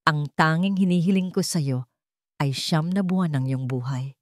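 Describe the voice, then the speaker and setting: A woman's voice: low voice, moderate pace, dry recording, clean studio sound, lightly acted. Woman narrator as a cold, commanding tycoon. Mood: cold, contemptuous. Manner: curt, commanding, composed. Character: cold, commanding wealthy businessman, controlled and unemotional.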